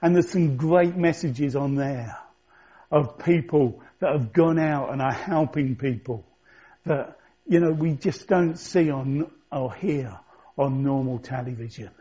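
A man's voice: mid-range voice, a little slow, dark tone, husky. A man preaching a sermon as pastor, speaking conversationally and reflectively, asking his listeners questions. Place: church. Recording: dull lo-fi church recording.

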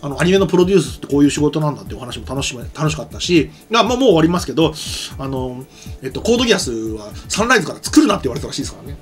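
A middle-aged man chats casually as a show co-host, with music playing behind him. His pitch swings around a lot and he talks fast, often stopping to correct himself. He speaks into a desk microphone in a small studio. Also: big pitch swings. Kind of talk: casual chat with announcements. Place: small studio set, indoors. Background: music in the background.

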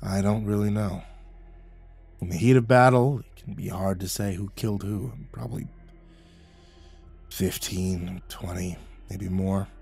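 A man voicing a bitter, boozy old male war veteran who talks in a gruff, low, sneering way over faint music. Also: steady tone, moderate pace, darkish tone. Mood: brooding.

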